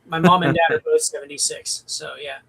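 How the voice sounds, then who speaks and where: A man's voice: fairly high pitch, fairly even intonation, a little fast, a crowd in the background, low-quality recording. A man in his early fifties chatting casually as a game designer on a livestream panel. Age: early 50s. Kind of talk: casual chat. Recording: clear background noise.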